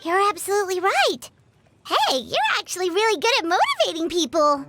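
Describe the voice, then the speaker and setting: A woman voicing a tiny, excitable, childlike fairy companion in a video game, exclaiming eagerly. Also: extremely high pitch, fairly quick, loud music behind the voice, full character voice. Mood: curious, cheerful. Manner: speaks of self in third person, exclaiming.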